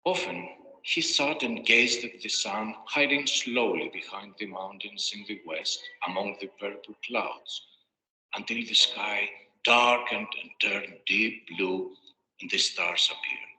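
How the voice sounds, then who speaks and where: A man's voice: lowish voice, a little slow, bright, forceful tone. A man narrating a scripted fairy tale as a storyteller, with a dramatic storybook delivery. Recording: echoey reverberant playback with music. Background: music.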